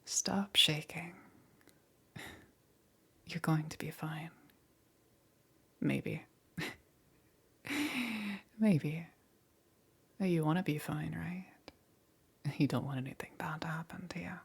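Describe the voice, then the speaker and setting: A woman voicing a menacing, teasing demon queen in an ASMR roleplay, with a breathy, whispery voice and a coaxing, softly amused, commanding manner. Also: deep voice, steady tone, slow pace, long pauses, heavily acted. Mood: softly threatening.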